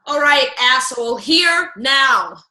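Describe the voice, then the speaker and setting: Woman as a young storybook girl, sweet but no-nonsense, high bright voice, comic radio play, roomy echo. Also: animated, takes it slowly, heavily acted. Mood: annoyed, scolding.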